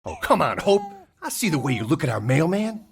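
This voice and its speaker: An actor playing an abusive, jealous young husband in a radio drama, bullying and accusing. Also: male voice, on the high side, sing-song, a little fast, creaky, husky, exaggerated voice.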